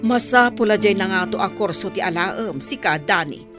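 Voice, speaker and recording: An actress plays a stern mother of grown children in a radio drama. Her voice sits at an ordinary pitch but swings widely as she scolds and insists. She sounds proud and easily exasperated by her children. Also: lively intonation, normal speed, over music, natural voice. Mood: scolding.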